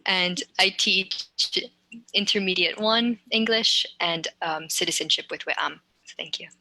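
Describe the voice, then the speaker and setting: Woman moderator from New York on a video call, bright crisp tone, easygoing pace with light "um"s. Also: lowish voice, unhurried, dry recording, low-quality recording. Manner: relaxed, friendly, light fillers. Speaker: adult education instructor and moderator. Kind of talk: casual moderated discussion.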